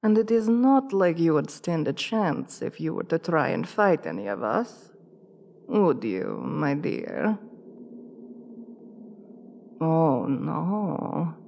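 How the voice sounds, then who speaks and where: Casting: female actor for seductive vampire queen, ASMR roleplay; very slow, condescending, lowish voice in long reverb. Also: big pitch swings, creaky, a little mellow, slight background noise, exaggerated voice. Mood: menacing, mocking. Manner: commanding, teasing, condescending, deliberate drawn-out delivery. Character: imperious, seductive vampire queen toying with a human captive. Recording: long reverb with music behind.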